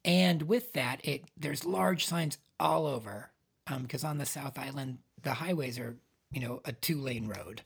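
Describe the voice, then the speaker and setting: Husky, gravelly-voiced middle-aged man. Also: measured pace. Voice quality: says his voice is on the high side. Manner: rambling, with many restarts and fillers. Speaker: podcast co-host. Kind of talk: casual chat.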